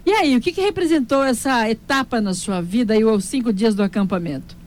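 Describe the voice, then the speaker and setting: A woman's voice: a little high, brisk, a little mellow. A woman hosting a live interview in an upbeat, chatty, exclamatory way. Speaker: radio or event host.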